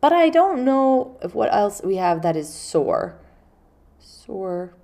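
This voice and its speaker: A woman's voice: mid-range voice, big pitch swings, slow pace, gravelly, mellow. A woman with a cold and a congested, nasal voice, teaching English vocabulary in a casual lesson and acting out short example phrases. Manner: patient, explains with spoken examples. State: stuffy nose from a cold.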